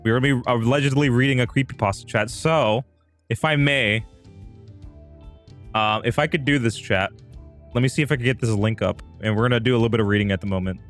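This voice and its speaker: A man's voice: mid-range voice, fairly quick, fairly bright tone, soft background music. A man hosting a live stream with casual chat, rambling and repeating himself as he talks straight to his viewers. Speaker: livestream host.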